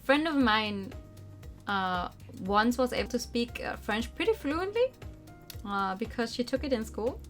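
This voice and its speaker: Young woman streaming over soft music. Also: on the high side, measured pace. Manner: animated, informal, rambling asides. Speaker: streamer playing a visual novel. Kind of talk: read-aloud narration mixed with casual chat. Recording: music behind the voice. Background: background game music.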